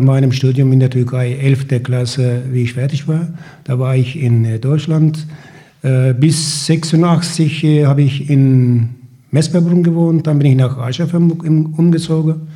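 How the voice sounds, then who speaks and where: A man from Turkey whose first language is Turkish, telling his story haltingly with many fillers. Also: mid-range voice, dark tone. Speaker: guest. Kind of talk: conversational interview answers.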